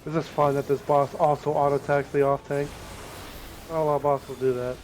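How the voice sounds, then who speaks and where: A man's voice: on the high side, flat delivery, brisk, darkish tone, slight background noise, rough recording. A man on a gaming team, giving casual callouts and commentary during play. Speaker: gaming teammate.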